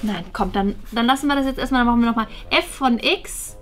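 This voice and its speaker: A woman's voice: a little high, quick pace, faint music behind, lightly acted. A woman playing a fussy, determined mother in a scripted family web drama, speaking in a fretful, insistent way. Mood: perplexed. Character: fussy, determined mother helping her schoolboy son.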